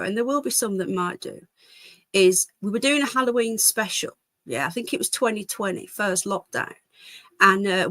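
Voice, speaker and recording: A British woman hosts a casual livestream with a medium-pitched, slightly congested voice, recorded close and dry. She chats in a rambling, easily distracted way, hopping between asides as she addresses her viewers. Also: a bit bright, no room sound. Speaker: livestream host.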